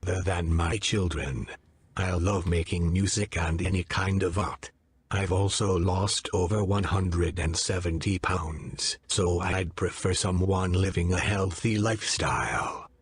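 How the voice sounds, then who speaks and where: A man reading out a short profile in a flat, even way. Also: low-pitched, measured pace, husky.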